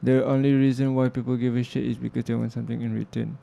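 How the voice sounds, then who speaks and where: Young male interview guest; gravelly, mellow-toned, earnest, stops and restarts mid-thought. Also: mid-range voice, little pitch movement, dull audio. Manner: reflective, earnest, halting with restarts and fillers. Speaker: interview guest, filmmaker and music producer. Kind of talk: casual interview talk.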